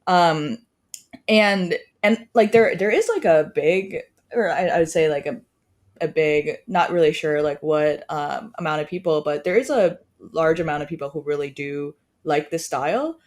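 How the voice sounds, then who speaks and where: A woman's voice: low-pitched, moderate pace, a little mellow. A woman, a startup co-founder, explaining casually on a podcast with many fillers and trailing phrases.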